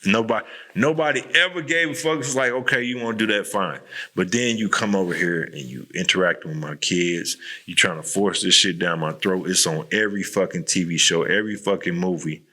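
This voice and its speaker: A man's voice: sits low, a little fast, pushed and bright. A man hosting a podcast in a casual, candid chat, talking bluntly in slangy, profanity-laced language. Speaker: podcast host.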